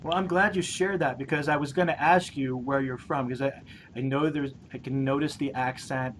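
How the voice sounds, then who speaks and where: A man's voice: medium pitch, steady tone, moderate pace, slight background noise. A man hosting a conversational interview, rambling and repeating words as he works toward his questions.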